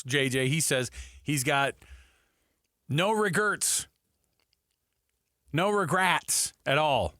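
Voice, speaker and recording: A man's voice: mid-range voice, bright, forceful tone, no echo, studio quality. A man with an American accent co-hosting sports talk radio, emphatic and conversational.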